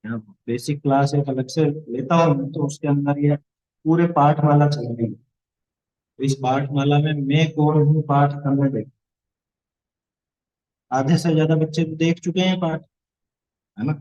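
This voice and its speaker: A man's voice: a little high, fairly even intonation, a little slow, long pauses, mellow, highs cut off. A man teaching a religious studies lesson to a class of children, explaining points and asking the class questions.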